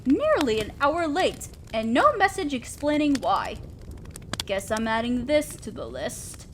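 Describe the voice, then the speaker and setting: A woman's voice: high voice, big pitch swings, measured pace, background noise. A woman voicing a stern, condescending female demon lord in a scripted roleplay audio drama, speaking as an exacting boss of hell. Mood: impatient, bossy. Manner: commanding, condescending, scolding.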